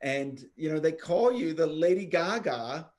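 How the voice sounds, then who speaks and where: A man's voice: a little high, expressive, a little slow, a little mellow. A man hosting a podcast interview, eager and enthusiastic as he welcomes his guest. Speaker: podcast host.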